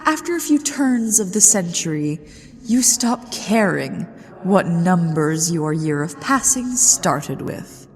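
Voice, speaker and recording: A haughty lady ghost of a haunted manor, acted out by a woman, speaks slowly in a theatrical, imperious ASMR roleplay. Her delivery swings widely in pitch as she teases and threatens. Long reverb trails every phrase. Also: darkish tone. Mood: haughty, playful. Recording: long hall reverb with music behind.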